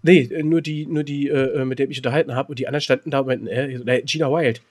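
A middle-aged man co-hosting a casual podcast, talking in a rambling, jokey, excitable way. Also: medium pitch, rapid-fire. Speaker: podcast co-host.

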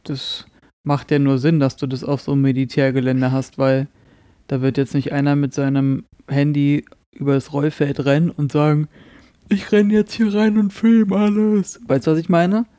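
Male podcast co-host, slightly higher voice, soft mellow tone, dry sound, jokey rambling chat. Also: level tone, moderate pace, no echo. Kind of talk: casual banter podcast chat.

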